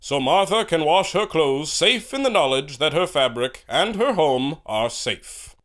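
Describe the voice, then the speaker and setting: A man's voice: fairly high pitch, very animated, a little slow, fairly bright tone. A man playing an earnest, formal announcer in a 1930s-style radio serial, with a dramatic salesman's delivery. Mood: theatrical, selling. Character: earnest old-time radio announcer reading adverts and bulletins.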